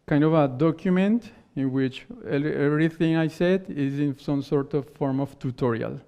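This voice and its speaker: A man whose first language is not English, giving a technical conference talk and answering questions in halting phrases that he often restarts. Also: on the high side, dark tone, smooth. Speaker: conference speaker. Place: conference hall stage. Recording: dull lo-fi conference recording.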